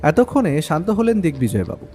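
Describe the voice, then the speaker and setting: A man's voice: on the high side, very animated, brisk, a little mellow, a little hiss or hum. A man playing a detective's reflective companion, narrating a story in the first person. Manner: literary, reflective. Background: background music.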